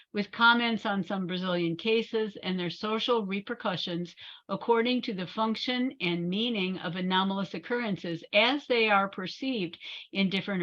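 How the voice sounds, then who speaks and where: A woman's voice: medium pitch, a bit bright, dull audio. A woman moderating an online session, reading an abstract aloud with small stumbles before handing over to the speaker.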